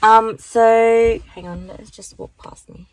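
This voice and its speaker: A young woman with a croaky morning voice, chatting casually into a handheld phone at the beach. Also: fairly high pitch, steady tone, slow pace, a little mellow, slight background noise, rough recording. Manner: chatty, rambling, lots of fillers. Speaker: vlogger. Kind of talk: casual chat vlog. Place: car at the beach, then walking outdoors.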